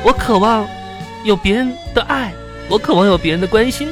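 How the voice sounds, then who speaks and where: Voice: mid-range voice, moderate pace, close to an everyday voice. A performer playing a childish, clingy, eccentric young woman in a live comic radio drama, whiny and melodramatic. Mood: plaintive, lonely. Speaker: radio comedy actor. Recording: radio broadcast with music behind.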